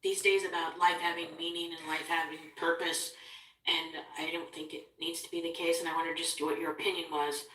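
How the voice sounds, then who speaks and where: A woman's voice: on the low side, level tone, fairly quick, fairly bright tone. A woman in the audience asking a musing, tentative question. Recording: echoey hall, voice from far off.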